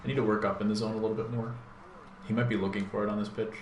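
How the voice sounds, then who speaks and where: A man with a deep voice hosting a gaming channel, thinking aloud in a laid-back, rambling way with plenty of fillers while he plays. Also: flat delivery, racing. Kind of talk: casual live gameplay commentary. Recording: echoey room with background noise.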